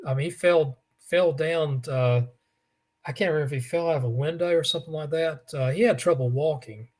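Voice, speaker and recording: A man with a raspy voice, co-hosting a casual sports talk show and telling stories with lots of restarts and fillers. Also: fairly high pitch, fairly quick, darkish tone. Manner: rambling storyteller, many restarts and fillers. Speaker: co-host.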